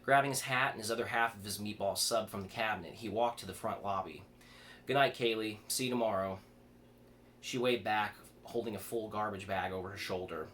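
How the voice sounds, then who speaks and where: Low-voiced man reading horror fiction, background noise. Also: fairly quick, hardly any pauses, fairly bright tone. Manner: stumbles and rereads words. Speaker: author reading own fiction aloud.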